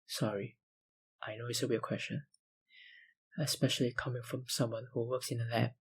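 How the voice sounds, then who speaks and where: A man playing an obsessive, menacingly polite vampire researcher in an intimate ASMR roleplay, with deliberate, insinuating phrasing and a breathy voice that often drops to a whisper. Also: mid-range voice, fairly even intonation, slight background noise, close to an everyday voice. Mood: measured confiding. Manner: slow, deliberate, politely insinuating, with sighs and pauses.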